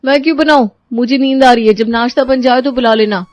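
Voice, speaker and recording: A woman's voice: fairly high pitch, brisk, a little mellow, dry recording, pitched above the performer's own voice, close to an everyday voice. A woman voicing a lazy, indignant sister-in-law in a cartoon story, whiny and defiant. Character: lazy, indignant grown sister-in-law who hates chores.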